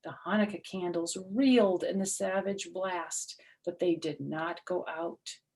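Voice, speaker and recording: A woman narrating a children's story aloud in an expressive, dramatic and deliberate way. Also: fairly low pitch. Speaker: storyteller reading a picture book aloud.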